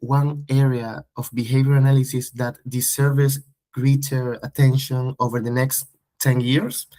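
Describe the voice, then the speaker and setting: A man's voice: mid-range voice, level tone, measured pace, warm tone. A man interviewing a guest with brief, polite questions and short backchannels.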